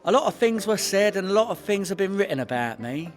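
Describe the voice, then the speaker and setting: An actor playing a boastful, hapless London petty criminal in a comedy radio play. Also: male voice, sits high, very animated, fast, soft background music. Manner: cocky, bluffing. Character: boastful small-time crook, a hapless cockney husband and father.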